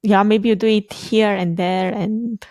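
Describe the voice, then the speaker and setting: A woman's voice: mid-range voice, fairly even intonation, a little slow, darkish tone, no room sound, studio quality. A young woman from Romania, a native Romanian speaker, chatting as a guest on a video-call interview, laughing often and hesitating with fillers. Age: young adult. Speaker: guest, quantum computing researcher. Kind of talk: casual interview conversation. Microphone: video call microphone.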